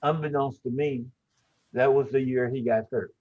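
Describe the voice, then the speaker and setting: A man in his sixties giving a personal tribute, telling stories slowly with many "uh" fillers. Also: mid-range voice, steady tone, darkish tone. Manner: frequent "uh" fillers, measured storytelling. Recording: tinny phone-like audio.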